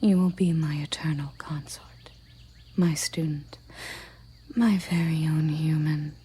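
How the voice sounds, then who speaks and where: A woman voicing a regal, tender and possessive elven queen in a breathy ASMR roleplay whisper, with soft, commanding endearments. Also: low-pitched, steady tone, takes it slowly, full character voice. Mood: possessive, devoted.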